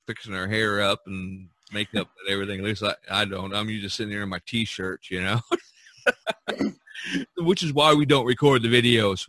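Male podcast host, forceful bright tone, dry close sound, rambling casual chat. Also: fairly low pitch, no room sound. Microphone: video call microphone.

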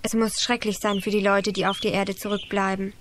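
A woman's voice: medium pitch, flat delivery, a little fast, slight background noise, natural voice. A female performer playing an earnest, devout child who explains things in a children's radio play. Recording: 1980s radio play, birdsong behind.

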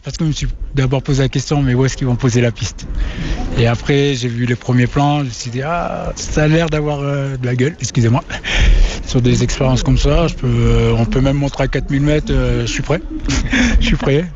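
A man's voice: mid-range voice, a little fast, a little mellow. Enthusiastic man in a noisy, dull field recording. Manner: enthusiastic, colloquial. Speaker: athlete being interviewed. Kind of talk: casual spontaneous interview.

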